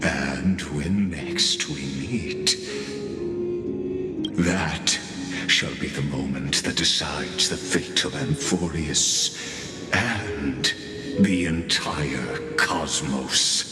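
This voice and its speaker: An actor playing a grandiose, theatrical scholar in a video game, declaiming solemnly and deliberately about doom. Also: male voice, very deep voice, heavily acted. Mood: commanding, ominous. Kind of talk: scripted video game dramatic monologue. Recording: long echoing reverb under loud music.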